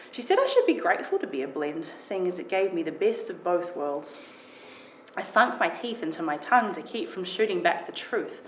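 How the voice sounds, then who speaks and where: Low-pitched woman reading a story, echoey room. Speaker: writer reading own short story. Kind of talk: expressive first-person story reading. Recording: roomy echo with clear background noise.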